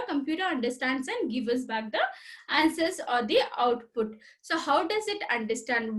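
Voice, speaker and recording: A high-pitched woman teaches a school computer class. She talks straight to the children, repeats herself and asks questions as she goes. Her voice sits well above most women's. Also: a bit bright. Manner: repetitive, addresses children directly, asks questions. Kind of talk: recorded school computer lesson.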